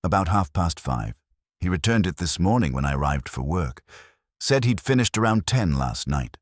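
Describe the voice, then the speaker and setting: A man voicing a sharp-eyed, purposeful cleaning woman in a mystery story, exact and matter-of-fact. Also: sits low, sing-song, moderate pace, dry recording, studio quality.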